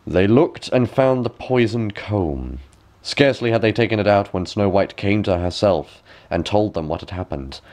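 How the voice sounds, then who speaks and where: A man's voice: lowish voice, expressive, moderate pace, darkish tone. A man reading a fairy tale as a bedtime story, with dramatic, careful phrasing.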